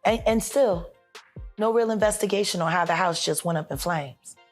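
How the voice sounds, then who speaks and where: A woman's voice: fairly low pitch, moderate pace, faint music behind. A woman giving an impassioned, accusatory rant with emphatic, repeated phrasing.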